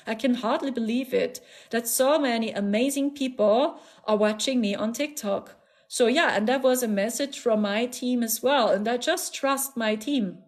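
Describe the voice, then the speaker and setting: A woman with a German accent hosting a live stream as a spiritual channeler, talking in an earnest, repetitive, soothing way with frequent little laughs. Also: a little high. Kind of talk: casual live-stream talk.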